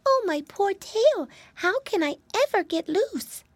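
A woman voicing a little girl mouse in a children's storybook, squeaky, plaintive and earnest. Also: expressive, a little slow, no echo. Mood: distressed.

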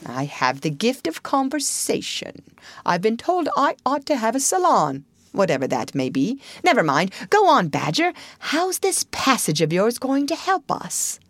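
A woman voicing a boastful, excitable gentleman toad from a storybook, gushing and pompous. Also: on the high side, dry recording, pitched above the performer's own voice, heavily acted. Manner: self-satisfied, gushing, pompous. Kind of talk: storybook character voice.

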